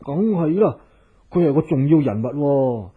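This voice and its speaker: A timid, devoted household cook in a Hong Kong Cantonese radio drama. His voice is rough and husky and swings widely in pitch as he sighs and hesitates deferentially. The sound is boxed-in and narrow, like an old radio broadcast. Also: animated, moderate pace. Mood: explaining. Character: timid, doting male household cook, eager to please. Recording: muffled narrow-band old radio recording.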